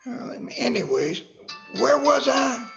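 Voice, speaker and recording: Folksy cranky old man, creaky, old radio comedy bit, kinda muffled recording. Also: extremely high pitch, very animated, takes it slowly, over music, heavily acted. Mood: flustered, contrary. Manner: folksy, rambling, contrary. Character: cranky old-timer man telling rambling corny jokes.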